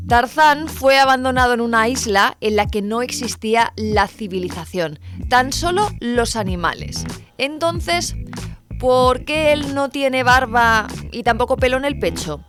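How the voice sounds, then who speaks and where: Higher-pitched woman narrator over music. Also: expressive, measured pace. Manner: playful, wry rhetorical questioning. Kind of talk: light scripted list-video narration. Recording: music behind the voice.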